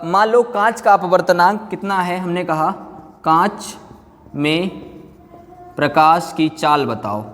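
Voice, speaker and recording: A male teacher lectures in a room with long, hall-like reverb. His voice sits a little high for a man. He speaks informally, throwing questions at students and then answering them himself, often repeating key phrases. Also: fairly bright tone. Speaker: physics teacher. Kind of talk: exam-coaching classroom lecture.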